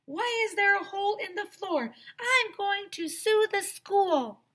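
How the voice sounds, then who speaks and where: A woman voicing an angry, litigious storybook woman who hollers loudly with drawn-out words. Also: very high-pitched, lively intonation, voice pushed up, heavily acted. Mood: indignant.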